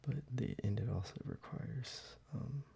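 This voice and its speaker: A man whispering softly into a close microphone in a soft-spoken ASMR video, with quiet chuckles along the way. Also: medium pitch, measured pace, gravelly, slight background noise. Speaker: ASMR creator.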